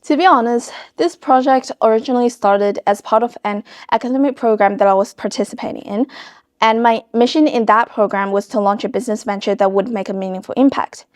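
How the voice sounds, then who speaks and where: High-voiced teenage girl from China. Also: fairly quick. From China, later Australia. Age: teens, high school student. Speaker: interviewee, student author. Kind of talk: interview answers about own project.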